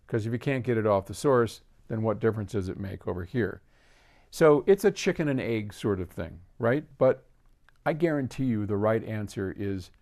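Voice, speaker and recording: A man's voice: on the low side, a little mellow. An older man hosts casually, answering a viewer's question in an emphatic, opinionated way. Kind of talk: casual explanatory talk to camera.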